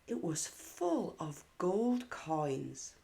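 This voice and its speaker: Female children's read-aloud narrator: expressive, unhurried, low-toned, telling a story to little kids. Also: vocal fry. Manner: measured, animated storytelling for young children.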